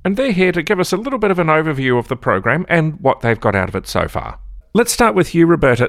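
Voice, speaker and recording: A man's voice: mid-range voice, a bit bright, no room sound, clean studio sound. A man hosting a radio interview show, welcoming listeners and asking guests questions. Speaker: host.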